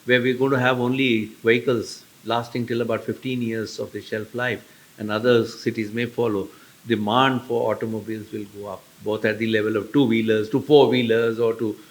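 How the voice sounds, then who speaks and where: A man chairs a conference session, commenting briefly on a presentation and then inviting the next speaker. His voice is of middling pitch, and his delivery is measured and formal, with the odd restart. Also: fairly bright tone, poor audio. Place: conference hall.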